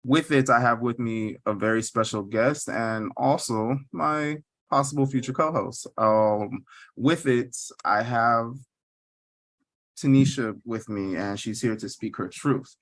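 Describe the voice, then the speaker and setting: A man's voice: medium pitch, a little slow, a bit bright, no echo. Laid-back man hosting a podcast. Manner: laid-back, repeats filler phrases. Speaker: podcast host.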